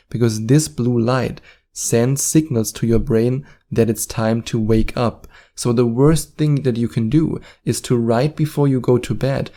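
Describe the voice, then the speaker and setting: A man's voice: mid-range voice, dark tone. A young man with a German accent, speaking as host in an earnest, reassuring self-help talk about sleep.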